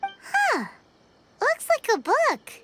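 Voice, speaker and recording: Super high squeaky fairy kid for a video game, chatty, excitable, kinda slow paced, music behind. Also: female voice, very noisy, heavily acted. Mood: curious. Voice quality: squeaky, childlike. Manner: bubbly, exclamatory, speaks of herself in third person. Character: tiny excitable floating fairy girl companion, curious and chatty. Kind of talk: animated video game voice acting.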